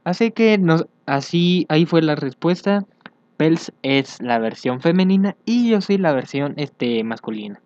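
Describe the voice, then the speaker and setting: A young man chatting casually as he reads social media aloud for a vlog, hesitant and thinking out loud. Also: on the high side, expressive, measured pace, no room sound. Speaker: fandub YouTuber. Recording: dull, lo-fi home microphone.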